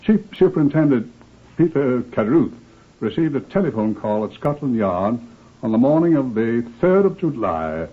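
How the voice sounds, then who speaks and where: An actor with a British accent playing an authoritative police superintendent who narrates crime cases in a formal, measured, deliberate old-time radio style. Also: male voice, mid-range voice, very animated, phone-quality sound. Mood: solemn, measured. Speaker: narrator, host of crime series. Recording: thin, band-limited old radio recording.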